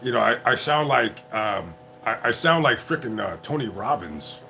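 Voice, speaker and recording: Bright-voiced tipsy man rambling on a livestream. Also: mid-range voice, lively intonation, creaky, a little hiss or hum. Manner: rambling, halting restarts. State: tipsy from drinking. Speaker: YouTuber live-streamer. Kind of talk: casual livestream chat.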